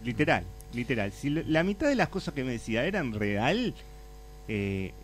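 A Uruguayan man hosting a studio chat, telling animated anecdotes. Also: medium pitch, normal speed, slight background noise. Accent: Uruguayan Spanish. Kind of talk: casual chat.

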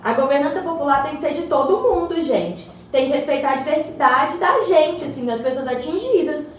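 A woman's voice: high-pitched, expressive, normal speed. A woman playing a chatty, keen community member in a radio soap, informal and eager. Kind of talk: scripted radio soap, casual dialogue. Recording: very echoey, distant mic, faint noise.

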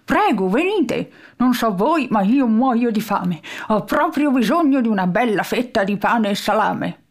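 A woman's voice: fairly high pitch, expressive, unhurried. Woman putting on a boisterous toothless old man. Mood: jovial. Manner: boisterous, rhyming, exclaiming. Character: eccentric old toothless man living in a junkyard. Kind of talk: storybook reading.

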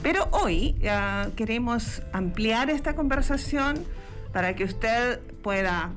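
A woman with a Mexican Spanish accent hosting a radio talk show, warm and chatty, drawing out her phrases. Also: fairly high pitch, animated, slow pace. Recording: music bed under voice.

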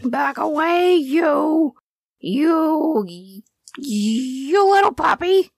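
A woman voicing a small, excitable male dog who talks big to seem tough in a children's storybook. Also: very slow, no room sound, clean studio sound, full character voice. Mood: bravado. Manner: barking bravado, high and eager.

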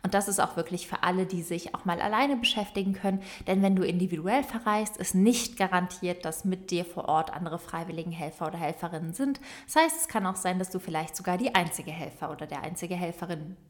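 Chatty woman hosting a podcast, lowish voice. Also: brisk, hardly any pauses. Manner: warm, informal, addresses listener directly. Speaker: podcast host and volunteer travel organizer. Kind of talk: solo podcast talk to listeners.

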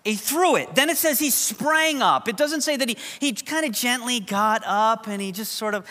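High bright-voiced New preacher. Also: male voice, animated, normal speed. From New York. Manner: conversational, humorous storytelling. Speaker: pastor. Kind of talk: sermon. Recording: long hall reverb.

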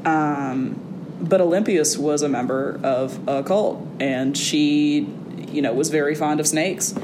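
A young woman, a college student, talking casually as an interview guest in a wry, animated way, with fillers and restarts. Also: slow. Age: young adult. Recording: audible background noise with a low rumble.

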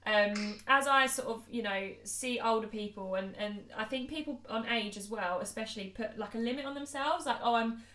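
A woman's voice: a little high, normal speed, bright, forceful tone. A British woman chatting casually and earnestly about her work as a fitness coach on a podcast. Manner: earnest, chatty, informal phrasing. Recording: roomy, echoey room sound.

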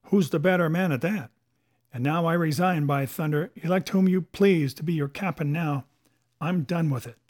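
A man voicing a domineering, crafty pirate sea cook who taunts and commands in rough sailor dialect, in a storybook reading. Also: on the high side, a little slow, a little mellow. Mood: defiant.

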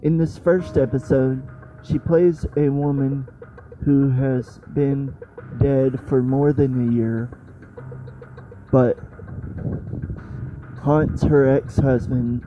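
A man hosting a podcast, introducing and summarising what is coming up. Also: mid-range voice. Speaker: podcast host. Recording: dull lo-fi, faint music behind.

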